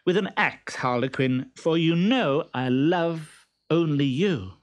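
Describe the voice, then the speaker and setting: A man reading a storybook aloud, voicing a bold, teasing young woman in a high, lilting voice. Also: expressive, takes it slowly.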